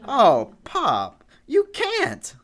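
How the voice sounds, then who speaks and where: A woman's voice: high voice, wildly swooping pitch, extremely slow, heavily acted. A woman playing a shy, reluctant teenage son in a live old-time radio comedy, hesitant and stammering, full of whiny protest.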